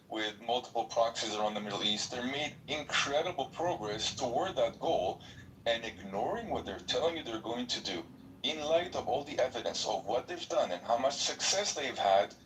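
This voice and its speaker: A man's voice: on the low side, a bit bright. A man debating politics as a podcast panelist, earnest and argumentative, often stumbling over fillers. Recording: distant mic, faint background noise.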